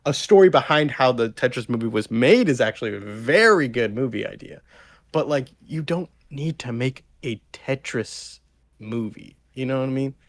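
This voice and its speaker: A man co-hosting a podcast, arguing casually and haltingly, often checking that the listener follows. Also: medium pitch, animated, no room sound. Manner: halting, repetitive, seeking agreement with 'you know'. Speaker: podcast co-host. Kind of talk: casual conversational debate.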